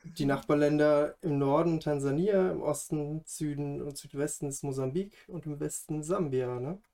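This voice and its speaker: A man hosting a conversational podcast, speaking in a hesitant, halting way. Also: fairly high pitch, warm tone. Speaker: podcast host.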